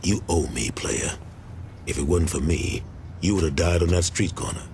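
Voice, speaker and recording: An actor playing an older ex-gang leader in a video game, weary and stubborn, arguing in emphatic street slang. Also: male voice, low voice, expressive, normal speed, a little mellow. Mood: disillusioned. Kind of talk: dramatic video game cutscene acting. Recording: clearly noisy outdoor-like ambience.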